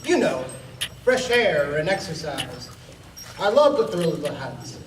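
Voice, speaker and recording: A man's voice: on the high side, sing-song, a little slow, slight background noise. A man acting a hearty reformed hunter turned nature defender, a past president, in an amateur radio play. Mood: earnest, reminiscing. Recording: echoey, distant mic, music behind.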